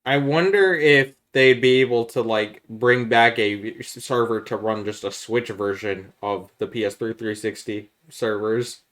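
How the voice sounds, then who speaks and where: A man's voice: lowish voice, fairly even intonation, a little slow, hardly any pauses, a bit bright. A man talking in a casual gaming podcast style as a co-host, arguing his opinions.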